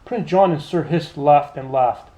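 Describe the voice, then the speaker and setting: A man narrating a children's storybook aloud in an expressive, scripted read-aloud style. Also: a little high, measured pace, dull audio.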